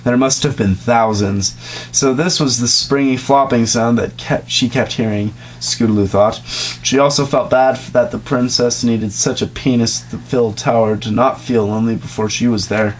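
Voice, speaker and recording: A man's voice: medium pitch, steady tone, moderate pace, rough recording. A man giving an informal mock dramatic reading, joking in asides and stumbling over words now and then. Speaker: amateur reader of comedic fan fiction. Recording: amateur recording with background noise.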